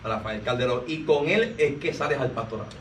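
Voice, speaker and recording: Interviewer in a roomy space. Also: male voice, mid-range voice, fairly quick. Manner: echoes the guest's answers, prompting. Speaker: interviewer, fellow minister. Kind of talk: conversational interview. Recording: roomy, distant mic with faint noise.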